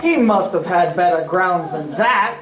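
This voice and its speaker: A woman's voice: fairly low pitch, animated, measured pace. A woman playing the sensible, exasperated straight partner in an old-time radio comedy routine, prompting and scolding. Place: stage reader's theatre performance. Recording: echoey stage, distant mic, background noise.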